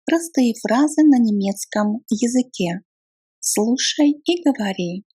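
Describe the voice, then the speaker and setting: A woman teaching beginner phrases in a slow, deliberate drill, saying each phrase in full and then word by word. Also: on the high side, animated, warm tone, husky. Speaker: language teacher.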